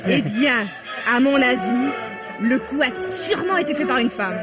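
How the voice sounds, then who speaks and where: A woman's voice: high voice, expressive, a little slow. An actress playing a teasing, outspoken young society woman in a vintage French radio mystery, with music behind her. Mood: teasing, playful. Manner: teasing, opinionated, playful. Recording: dull old radio recording. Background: loud music.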